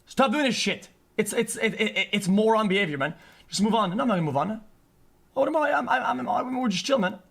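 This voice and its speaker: A man's voice: sits high, lively intonation, fairly quick, creaky, a bit bright. A man livestreaming an unscripted, agitated rant to his chat, swearing often and stumbling over repeated words.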